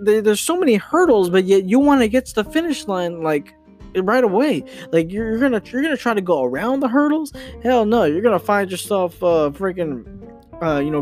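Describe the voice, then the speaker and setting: A man's voice: on the high side, darkish tone. Rambling young man on a podcast. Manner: rambling, many restarts and mild swearing. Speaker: podcast host. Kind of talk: casual solo podcast rant.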